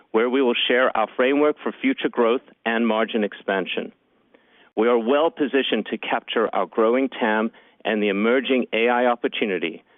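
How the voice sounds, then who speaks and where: A man working as a finance executive reads scripted earnings call remarks in a formal, measured manner. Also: mid-range voice, dry recording. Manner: formal, measured delivery of figures. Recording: narrowband conference phone line.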